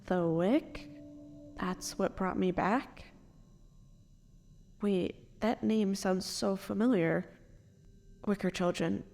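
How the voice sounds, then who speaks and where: Resurrected, grieving mother for audio drama: slow, anguished delivery in long reverb, music beneath. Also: medium pitch, creaky, mellow. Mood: wary, questioning. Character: grieving, stitched-together woman brought back from death.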